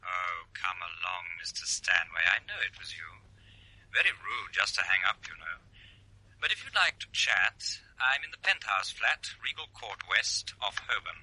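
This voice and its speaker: A man's voice: sits low, animated, normal speed, sharp tone, no echo, heavily acted, rough recording. An actor playing a needling, sinister male caller with mocking politeness, through a telephone filter in a radio play. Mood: sly, needling.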